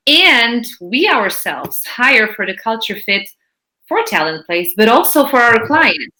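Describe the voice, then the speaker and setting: A woman's voice: on the high side, low-quality recording. A woman hosting an online panel discussion over a video call, upbeat and enthusiastic. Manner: upbeat, enthusiastic, warm welcome. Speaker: panel moderator.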